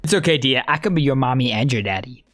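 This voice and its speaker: A woman's voice: extremely low pitch, animated, fast, over music. A woman game streamer reacting casually to gameplay with slangy, joking commentary.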